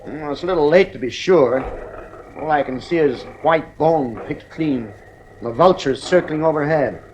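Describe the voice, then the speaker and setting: An actor playing an irritable, stubborn father and husband in a radio drama, with a gruff, commanding manner. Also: on the high side, normal speed, a little mellow, a little hiss or hum, natural voice, poor audio. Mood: uneasy wonder.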